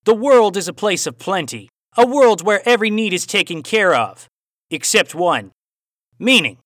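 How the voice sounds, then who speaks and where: Sinister cowboy villain, aussie w a bit of twang, theatrical and taunting, high pitched and swooping, audiobook style. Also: male voice, lively intonation, a bit bright, no echo, clean studio sound. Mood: sinister musing. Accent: Australian with a hint of cowboy twang. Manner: formal, deliberate, sinister rhetorical questioning. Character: menacing male phantom cowboy villain, philosophical, taunting, theatrical. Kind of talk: audiobook character voice.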